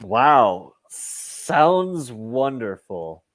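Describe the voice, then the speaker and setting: Male actor, middle-aged, as self-absorbed comedy adventurer, earnest and whiny, slow delivery with big pitch swings. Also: very animated, a bit bright, exaggerated voice. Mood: mock concerned. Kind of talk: comedic radio play acting over video call. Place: room at home, on a video call.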